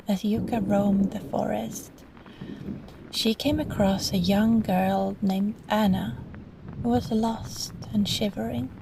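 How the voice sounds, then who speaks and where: A woman narrates a bedtime story at an unhurried pace, in a soft and breathy ASMR style that often nears a whisper. Her tone is mellow with a touch of fry, gentle and soothing throughout. There is faint noise behind her. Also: mid-range voice, low-quality recording. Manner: gentle, slow, soothing delivery. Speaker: storyteller, voice actress. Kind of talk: soft bedtime story reading, sleep-aid ASMR roleplay.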